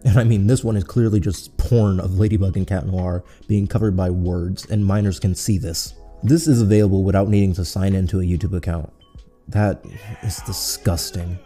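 A man's voice: deep voice, fairly even intonation, moderate pace, mellow, soft background music. A man co-narrating a scripted video essay in an earnest, critical manner.

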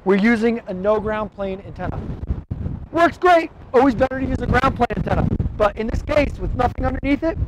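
High-voiced man explaining off-road gear. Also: background noise. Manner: direct, practical, frequent "okay?" check-ins. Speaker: shop owner explaining radio gear. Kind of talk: casual how-to explainer. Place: garage, then outdoors in a windy lot.

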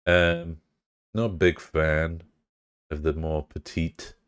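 Deep-voiced, swearing male game streamer. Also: flat delivery, slow, clean tone, no room sound. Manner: profane, laid-back banter with chat. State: congested, bunged up. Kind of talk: casual live-stream chat.

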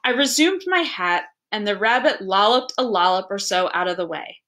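A woman reading a story aloud as narrator, with an expressive, deliberate storytelling delivery. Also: mid-range voice. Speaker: library staff member reading aloud.

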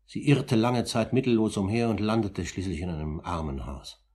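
A grave older gentleman with a low, husky voice. He speaks formally and deliberately, pressing each point with firm emphasis, in the manner of 1950s German radio drama. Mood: grave, measured. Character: composed, insistent older gentleman, grave and accusing uncle.

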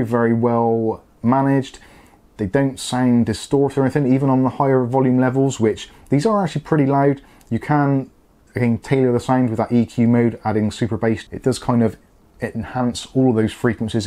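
A man's voice: mid-range voice, warm tone. A British man in his forties giving a casual, conversational product review to camera, explaining things as he goes. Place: small home studio room, indoors.

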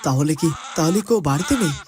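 A man's voice: on the high side, fast talker, crowd noise, lightly acted. A man playing a flustered, exasperated baby-minder in a fairy-tale cartoon, fretting, complaining and calling out. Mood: worried, exasperated. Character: flustered, exasperated adult minding a crying hungry baby.

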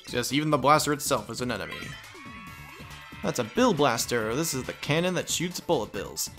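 Guy doing a lets play, goofy rambly chat, average pitch, game music under him. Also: a bit bright. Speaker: let's play host. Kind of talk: casual gameplay commentary. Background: video game music.

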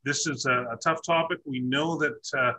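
A man's voice: mid-range voice, moderate pace, pushed and bright. A man in his forties moderating a school district town hall over a video call, courteous and full of fillers. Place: office with framed certificates.

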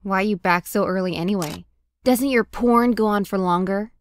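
A woman voicing a quick-tempered teenage girl who swings between flustered and sarcastic, in a comic dub. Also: medium pitch, lightly acted. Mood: scolding. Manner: flustered, then sharp and sarcastic. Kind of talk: fan comic dub acting.

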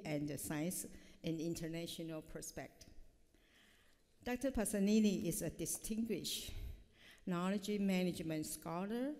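A woman's voice: on the low side, warm tone. A middle-aged woman professor giving a formal introduction from notes at a podium mic in a large hall, with frequent hesitations. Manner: reads from notes with frequent uh fillers. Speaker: professor introducing a keynote speaker. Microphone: podium microphone. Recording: long hall reverb.

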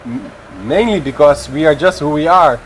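A man's voice: a little high, moderate pace, crowd noise, rough recording. Earnest Dutch man searching for words. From Netherlands. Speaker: guest, family vlogger. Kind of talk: casual interview.

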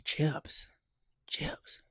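A man's voice: extremely slow, bright, forceful tone, whispered. Playful young man bantering on a podcast. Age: 20s. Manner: playful, mock-dramatic. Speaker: podcast host. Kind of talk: casual joking podcast banter. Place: small room indoors. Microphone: handheld-close podcast microphone on a stand.

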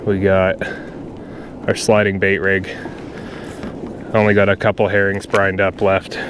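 A man's voice: sits low, monotone, measured pace. A man vlogging from his kayak on open water, chatting casually to viewers while he fishes. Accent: Canadian. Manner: laid-back, chatty, talks to viewers as he fishes. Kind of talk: casual on-water narration to camera. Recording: clear outdoor water and wind noise. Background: wind and water noise.

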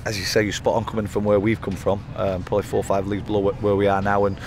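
A man in his thirties, a football manager giving a post-match interview outdoors by the pitch at night, talking in rambling runs full of fillers and restarts. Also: monotone, rapid-fire. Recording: outdoor interview with loud background noise.

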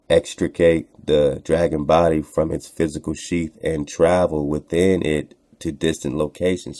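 A man's voice: extremely low pitch, dark tone. A man reading aloud from a text and commenting on it as a show host, in a casual, slangy manner that repeats phrases for emphasis. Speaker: host of an online radio show.

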